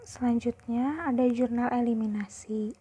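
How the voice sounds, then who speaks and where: A woman's voice: fairly high pitch, moderate pace, warm tone, slightly rough. A young woman giving an explanatory class presentation as a student. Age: young adult.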